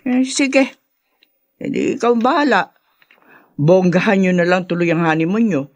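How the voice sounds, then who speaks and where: An actress playing a doting, brash middle-aged Filipino mother in a radio drama, expressive and teasing. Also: fairly low pitch, normal speed, no room sound. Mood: insistent, doting.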